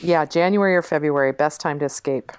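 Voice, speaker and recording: A middle-aged woman from the Portland area, a nurse midwife, talking as a podcast guest in a relaxed, measured way and pausing to find her words. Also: low voice, clear, resonant tone, no room sound, clean studio sound. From Portland, Oregon area. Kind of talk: casual conversation on a call. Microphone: phone call.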